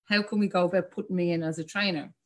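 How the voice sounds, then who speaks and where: A woman's voice: on the low side, steady tone, fast talker. Chatty Irish woman on a video call. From Ireland. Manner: chatty storyteller, laughs often. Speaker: guest, communication specialist. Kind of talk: casual video-call interview. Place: home room.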